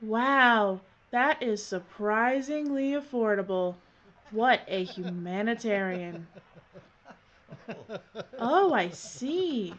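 A woman's voice: fairly high pitch, fairly bright tone, muffled recording. An actress playing a jaded, brusque and sarcastic female documentary director. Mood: mocking, sarcastic. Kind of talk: film dialogue.

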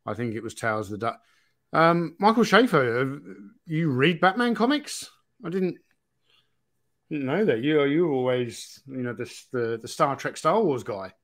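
A man co-hosting a livestream, chatting casually and haltingly as he thinks things through. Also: mid-range voice, big pitch swings, fairly bright tone. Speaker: livestream co-host. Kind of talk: casual chat, reading viewer comments.

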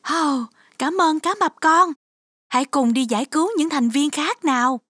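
A woman voicing a caring adult mother shark in a children's cartoon, gentle and reassuring. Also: high voice, moderate pace.

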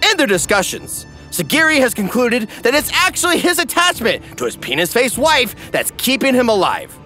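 A man narrating a scripted comedy parody in an animated, tongue-in-cheek manner. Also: extremely high pitch, moderate pace, faint music behind.

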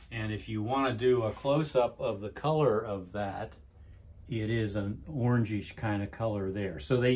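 An older man giving a plainspoken woodworking how-to in his home workshop, pausing with "uh" as he explains. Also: mid-range voice, recorded from a distance. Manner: plainspoken, with frequent "uh" pauses and restarts. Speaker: woodworking instructor. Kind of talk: casual how-to demonstration. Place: cluttered home workshop, indoors. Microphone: camera at a distance.

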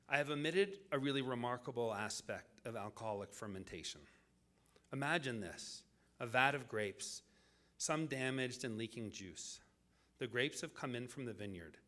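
A man delivering a scripted public lecture as the lecturer, in deliberate, measured phrases with dramatic pauses. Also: fairly low pitch, a bit bright.

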